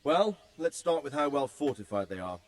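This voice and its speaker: An actor playing a shrewd military leader in a video game drama, deliberate and probing. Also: male voice, mid-range voice, sing-song, close to an everyday voice. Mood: shrewd, focused.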